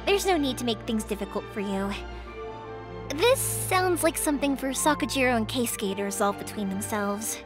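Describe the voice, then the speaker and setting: Spirited young fireworks girl played by a woman, high voice, caring and determined, game music behind. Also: lively intonation, moderate pace, close to an everyday voice. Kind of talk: video game dialogue acting.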